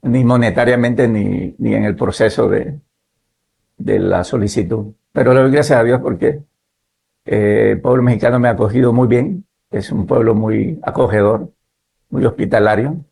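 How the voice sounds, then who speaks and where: Pastor guest in his fifties, from Nicaragua; gravelly, measured storyteller. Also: fairly low pitch, a little mellow. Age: 50s. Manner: measured, adds "¿verdad?" tags. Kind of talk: relaxed testimony in conversation.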